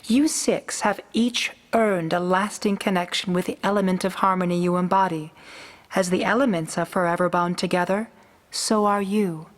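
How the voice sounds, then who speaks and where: A woman's voice: fairly low pitch, steady tone, lightly acted. Woman as a solemn, regal pony princess. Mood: gracious, wise. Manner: formal, measured, grave diction. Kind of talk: fan-made radio drama acting.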